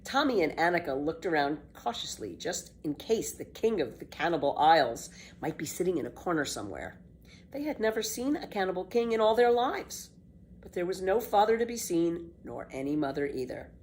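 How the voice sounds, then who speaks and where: A woman narrating a children's book as an expressive, measured storyteller. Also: on the low side, a little hiss or hum.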